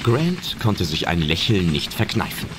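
Deep-voiced male narrator, lively delivery. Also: measured pace, background noise. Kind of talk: scripted audio drama narration. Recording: loud music under the voice. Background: loud film-style music and effects.

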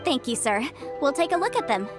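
A woman's voice: sits high, sing-song, a little fast, music in the background. A woman voicing a self-assured young legal adviser in a video game, articulate and businesslike. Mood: polite, businesslike.

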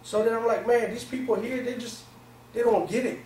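A man's voice: extremely high pitch, fairly even intonation, brisk, a little mellow. A man in his forties from Pakistan, a non-native English speaker, giving an informal motivational talk to a small group in colloquial, streetwise phrasing. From Pakistan, grew up in Virginia, US. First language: not English. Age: 40s. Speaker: seminar speaker. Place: small indoor conference room. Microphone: far camera microphone. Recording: echoey room, distant camera mic, noisy.

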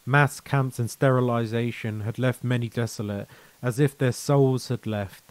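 A man's voice: mid-range voice, steady tone, moderate pace, no echo. A man narrating a scripted short story. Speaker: narrator and author.

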